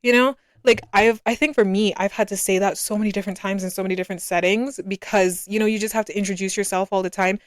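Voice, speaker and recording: Casting: woman, early twenties, from Barbados, smooth clear voice, casual reflective podcast host, close dry sound. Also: mid-range voice, fairly even intonation, quick pace, hardly any pauses, dry recording.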